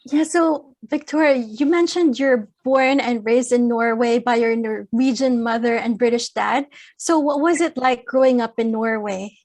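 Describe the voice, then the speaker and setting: A female talk show host with a high, bright-pitched voice reads out a formal guest introduction. She moves on to her questions in the same polite, carefully scripted manner. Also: unhurried.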